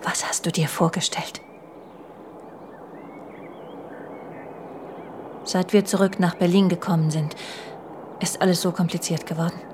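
An actress playing a brooding, suspicious middle-aged wife in an intimate radio drama, hushed and halting, often slipping into a whisper. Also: female voice, fairly low pitch, steady tone, slight background noise, lightly acted. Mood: brooding, needling. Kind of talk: intimate German radio drama acting, dialogue and inner monologue.

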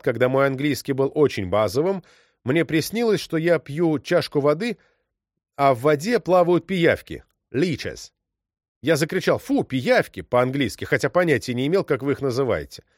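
A man reading out a series of short first-person stories as scripted narration, in a calm, even storytelling manner. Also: mid-range voice, normal speed, no echo, studio quality.

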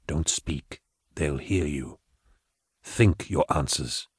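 A refined, well-educated middle-aged telepath, polite and measured, keeping his deep voice low and hushed, as the narrator plays him. The recording is dry and close. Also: husky, no echo, heavily acted. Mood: calming.

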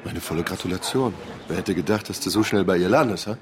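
A man's voice: deep voice, quick pace, over a noisy crowd, natural voice. An actor playing a drunk, jealous man who taunts his rival with mocking, theatrical politeness in a radio drama.

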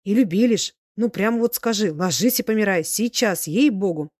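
A woman voicing a loud, tipsy old peasant ex-soldier who rambles in devoted exclamations, for a storybook. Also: medium pitch, animated, fairly quick, dry recording, studio quality, close to an everyday voice. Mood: fervent. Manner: exclamatory, rambling folk speech.

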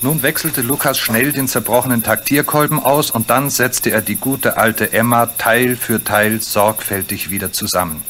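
A man's voice: medium pitch, fairly even intonation, normal speed, few pauses, fairly bright tone. A man narrating a children's radio play in German as a scripted storyteller. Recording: faintly noisy, cricket chirps behind.

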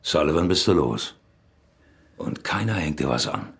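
An actor playing a sly, taunting drug dealer in a radio play, casually needling, with a very deep, raspy, gravelly voice. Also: male voice, animated, normal speed, heavily acted.

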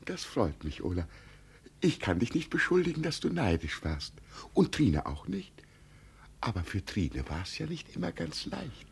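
An actor playing a gentle, deluded old peasant who believes he is an emperor, solemn and dreamy in a 1950 radio play. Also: medium pitch, wildly swooping pitch, measured pace, vocal fry, slightly rough, heavily acted. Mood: solemn, questioning. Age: older. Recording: vintage 1950 radio recording.

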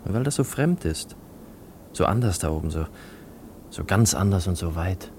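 A man playing a wistful, shy young suitor in a German radio play, speaking in a halting, pensive way. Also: deep voice, expressive, a little mellow, slight background noise. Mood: wistful, hushed. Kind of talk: 1950s-set German radio play acting.

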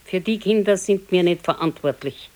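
Terse, stoic old Bavarian wife played by an actress. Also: female voice, on the low side, normal speed, close to an everyday voice. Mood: dry, reproachful. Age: older. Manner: terse, matter-of-fact, flatly contradicting. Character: stoic, matter-of-fact old wife, dryly scolding. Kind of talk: naturalistic radio play dialogue.

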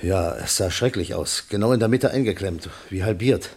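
A sombre warehouse manager in a 1960s radio crime play. His voice is deep and husky, and he answers in a subdued, deferential way, like a witness being questioned. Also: normal speed, a little mellow. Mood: shaken, subdued. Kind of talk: 1960s German radio crime drama acting.

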